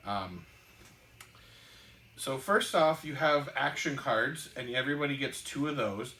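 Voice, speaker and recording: A man co-hosting a casual podcast, explaining board game rules in a halting way with lots of ums and restarts. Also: medium pitch, bright, forceful tone. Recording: echoey room, distant mic.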